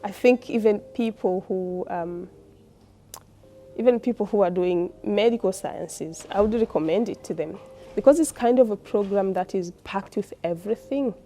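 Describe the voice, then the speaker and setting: A woman's voice: mid-range voice, normal speed, warm tone. A woman with a Tanzanian accent, a postgraduate student giving an informal interview in an explanatory, conversational way.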